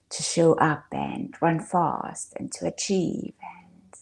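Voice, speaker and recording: A woman speaking as a meditation guide, in a soft, breathy, hushed voice with long pauses. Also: sits low. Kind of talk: gentle guided meditation talk.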